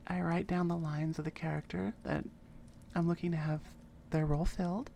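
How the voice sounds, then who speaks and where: A woman's voice: fairly low pitch, vocal fry, a little mellow, slight background noise. A woman creator chatting casually and effusively to her viewers, close on the microphone. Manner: effusive, enthusiastic. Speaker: ASMR creator. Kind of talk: casual thank-you chat to viewers. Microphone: close microphone on an arm.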